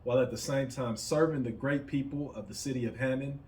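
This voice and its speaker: A man's voice: mid-range voice, fairly even intonation, fairly quick, a little mellow. A man hosting a casual podcast interview. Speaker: podcast host. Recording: roomy, distant mic, faint noise.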